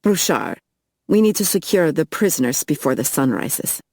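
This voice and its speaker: A cold female vampire leader gives short, clipped commands, voiced by a woman reading aloud. Her voice is low and close, with a dry, roomless sound. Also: sing-song, normal speed, darkish tone, dry recording, heavily acted.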